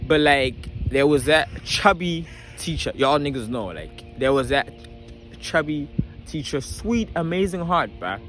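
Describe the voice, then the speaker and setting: A young man with a South African accent tells a personal story to viewers in casual slang, halting and repeating himself as he thinks. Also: a little high, animated, a bit bright, slight background noise. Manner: halting and repetitive while searching memory. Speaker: vlogger.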